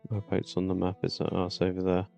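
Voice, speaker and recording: A man's voice: low-pitched, completely flat delivery, fairly quick, vocal fry, dark tone, soft background music. A man giving casual commentary while he plays a video game.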